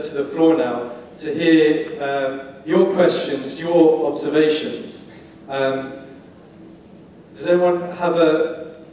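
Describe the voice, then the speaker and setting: A man moderating a public panel discussion in a halting way, repeating words as he phrases his questions. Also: fairly high pitch, a little mellow. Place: large indoor conference hall. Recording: echoey hall, distant mic, faint noise.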